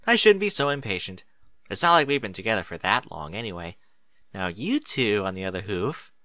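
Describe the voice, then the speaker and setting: A man's voice: on the low side, big pitch swings, fairly quick, sharp tone, no echo. A man voicing a chatty, coy adult mare who teases playfully in a story reading. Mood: coy, hopeful.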